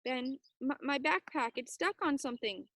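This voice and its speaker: Woman playing a frightened small boy, high-pitched. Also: moderate pace, fairly bright tone, no echo. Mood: panicked. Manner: pleading, panicky.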